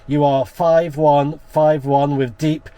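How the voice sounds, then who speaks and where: A man's voice: on the high side, level tone, slow, mellow, clear, resonant tone. British man chatting and making radio contacts. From United Kingdom. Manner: chatty, spells words out in phonetic alphabet. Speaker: amateur radio operator and vlogger. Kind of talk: casual vlog talk and radio contact exchanges. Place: portable radio outing.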